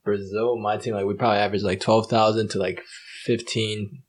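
Young male athlete guest, slightly low husky voice, loose casual chat. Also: fairly quick. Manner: relaxed, swearing, animated when retelling. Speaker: podcast guest, professional soccer player.

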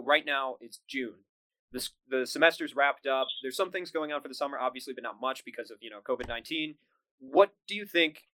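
Man interviewer with a bright voice. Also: mid-range voice, no echo, poor audio. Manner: measured, asks with "you know". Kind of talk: interview questions.

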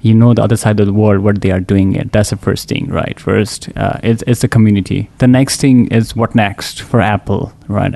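A man's voice: fairly low pitch, vocal fry, darkish tone. A man from Nepal, a guest developer, chatting in an interview and tagging sentences with "right?" and "like". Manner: leans on "right?" and "like". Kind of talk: casual interview chat. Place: conference venue.

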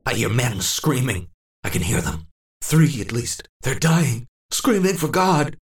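A man's voice: medium pitch, moderate pace, vocal fry, pitched above the performer's own voice, close to an everyday voice. A man voicing a frightened, confused old man in a horror audiobook, muttering and repeating himself in first person. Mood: frantic. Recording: roomy, distant echo.

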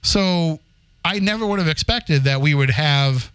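Chatty man with a smooth clear voice. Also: fairly high pitch, measured pace, a bit bright, no room sound. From Boston area. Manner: storytelling, chatty with frequent restarts and laughs. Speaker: podcast host. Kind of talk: casual chat.

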